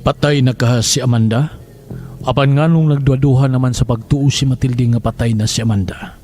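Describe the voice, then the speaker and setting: Drama narrator: man, dark mellow tone, Cebuano Filipino accent, scripted dramatic delivery, faint music underneath. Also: medium pitch, steady tone, moderate pace.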